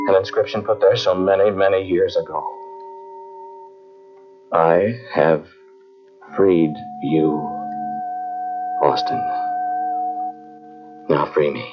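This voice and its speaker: An actor playing a haunted scientist in an old-time radio drama, telling of a terrifying ordeal in a dramatic, halting, brooding way. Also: male voice, low voice, steady tone. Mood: hushed dread. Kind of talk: old-time radio drama acting, first-person narration. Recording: dull old cassette radio recording, music behind.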